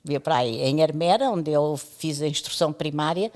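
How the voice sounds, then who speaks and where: A woman's voice: low-pitched, normal speed, a little mellow. Older Timorese woman reminiscing. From East Timor. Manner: reminiscing, chatty, laughs often. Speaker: interview guest, radio pioneer. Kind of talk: storytelling conversation.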